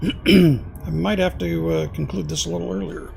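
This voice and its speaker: A man streaming a space game, thinking aloud in casual commentary, hoarse and losing his voice, often clearing his throat. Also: on the high side, moderate pace, warm tone.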